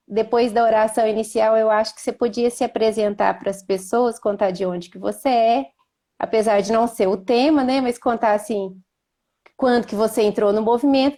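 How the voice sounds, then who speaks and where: A woman with a Minas Gerais accent hosting a live video call, chatting and then leading a slow, gentle prayer. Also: fairly high pitch. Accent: Minas Gerais Portuguese. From Minas Gerais, Brazil. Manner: slow, gentle and devout when praying. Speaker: host.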